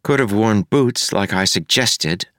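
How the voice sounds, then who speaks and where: A man's voice: fairly low pitch, a little slow, fairly bright tone, no echo, clean studio sound, voice pushed up. A man voicing a level-headed young woman constable who teases dryly. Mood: dry teasing.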